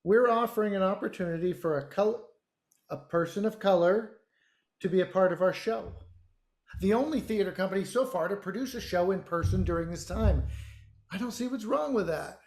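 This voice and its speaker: An actor playing a demanding, entitled theater director, curt and impatient, in a naturalistic play. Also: male voice, sits high, normal speed, a little mellow. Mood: defensive. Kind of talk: naturalistic stage play acting over video call. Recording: echoey room on video call.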